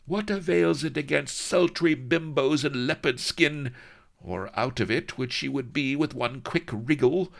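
A man voicing a haughty, jealous high-bred English lady who scolds indignantly. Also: measured pace, gravelly.

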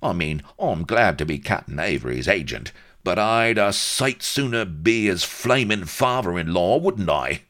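Wily Cockney fixer for a comic storybook: raised pitch, swooping delivery, prim and aggrieved. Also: male voice, lively intonation, a little slow, a bit bright. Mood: resentful self-pity. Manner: indignant, wheedling, mock-respectful. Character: wily, prim, self-pitying Cockney business agent.

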